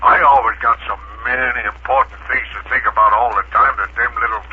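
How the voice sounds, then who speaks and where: An actor playing a bumbling, boastful, simple-minded rocket pilot, stammering and folksy in 1930s radio serial style. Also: male voice, mid-range voice, steady tone, brisk, husky. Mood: sheepish. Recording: scratchy muffled 1930s radio recording.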